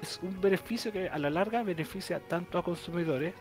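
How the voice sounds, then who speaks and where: A man's voice: sits high, fairly quick, soft background music. A Chilean man co-hosting a video game news stream over a video call, arguing his points with many restarts. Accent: Chilean Spanish. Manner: opinionated, thinks aloud with restarts. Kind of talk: casual panel discussion. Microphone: headset on video call.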